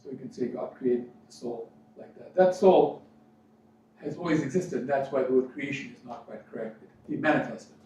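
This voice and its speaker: A man's voice: mid-range voice, mellow. Man preaching in a very echoey room. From Fiji, educated in New Zealand. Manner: deliberate, preaching, with emphatic repetition. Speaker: life coach and spiritual speaker. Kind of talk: motivational spiritual talk. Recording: very echoey room, distant mic, dull sound, faint noise.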